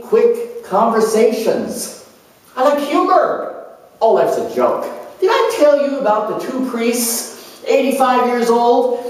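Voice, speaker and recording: A man performing a theatrical monologue as a flighty, easily bored young student, excitable and gushing as he hops between subjects. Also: very high voice, pitched above the performer's own voice. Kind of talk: theatrical solo character monologue. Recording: very echoey room, distant mic.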